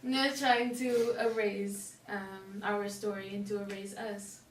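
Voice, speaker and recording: A woman's voice: mid-range voice, level tone, takes it slowly. A woman from Portugal hosting a casual interview, wandering through her thoughts with many fillers and asides. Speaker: host. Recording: roomy echo, distant mic, faint hiss.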